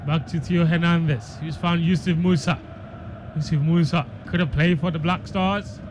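A man's voice: on the high side, fairly even intonation, normal speed. A man giving live football commentary on the radio. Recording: clear background noise, stadium ambience.

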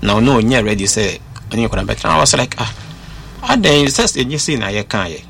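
A man's voice: fairly low pitch, sing-song, brisk, vocal fry, a bit bright, a little hiss or hum. A man, earnestly telling his own story at length in a casual chat. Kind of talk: casual chat mixing Twi and English.

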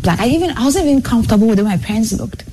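A young woman guest sharing her own story on a talk show, earnest and animated, her phrases tumbling out with a lot of "like". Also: fairly high pitch, racing, husky.

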